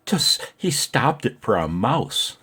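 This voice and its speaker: A man's voice: mid-range voice, very animated, slow pace, bright, forceful tone, husky. A man who is an amateur radio operator and vlogger, talking casually with playful, jokey grumbling. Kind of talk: casual chat and radio contest calls.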